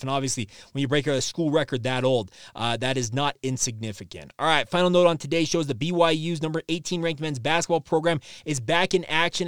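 Clean, higher-voiced man hosting sports podcast. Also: clean tone, no room sound, studio quality. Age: 30s. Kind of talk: solo sports news commentary, conversational.

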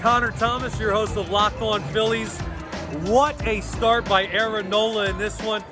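A man's voice: high voice, level tone, normal speed, over music. A man hosting a baseball podcast, giving an enthusiastic recap of a game. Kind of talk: sports recap commentary.

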